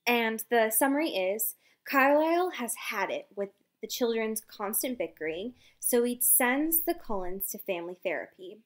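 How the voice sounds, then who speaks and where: A woman's voice: on the high side, expressive, normal speed. Chatty young woman recapping a book. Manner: chatty, swears freely, laughs often. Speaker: podcast co-host. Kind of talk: casual book recap chat.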